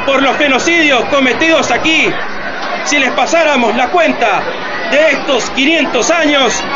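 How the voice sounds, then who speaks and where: An actor playing an impassioned, defiant president, delivering a declamatory, emphatic political speech in a radio drama. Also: male voice, extremely high pitch. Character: impassioned male president rallying his nation in a defiant speech. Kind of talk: radio theatre political oratory. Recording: dull lo-fi, loud crowd and noise.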